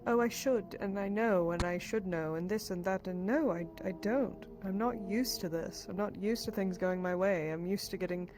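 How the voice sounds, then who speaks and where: A woman's voice: mid-range voice, normal speed, hardly any pauses, lightly acted. A woman playing a wry, foul-mouthed, wary female fighter for hire in live fantasy roleplay. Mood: wary, frustrated. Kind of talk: live improvised fantasy roleplay in virtual reality. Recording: clear background music behind the voice.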